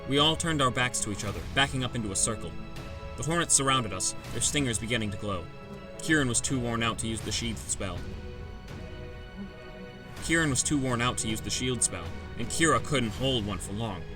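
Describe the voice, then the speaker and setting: A man's voice: mid-range voice, expressive, brisk. A man narrating a first-person fantasy audiobook as a scripted story. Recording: loud music bed.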